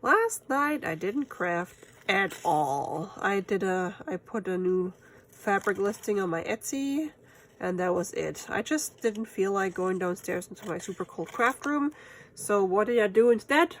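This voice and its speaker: A woman's voice: medium pitch, expressive, measured pace. A woman with a German accent, German being her first language, chatting casually and laughing at herself while she makes crafts at home. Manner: chatty and self-amused, laughs often, talks to herself while working. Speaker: crafting channel host.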